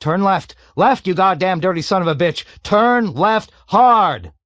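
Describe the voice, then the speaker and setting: A man voicing a panicked young male bombardier who shrieks and yells frantically. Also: mid-range voice, animated, slow, no echo. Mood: hysterical.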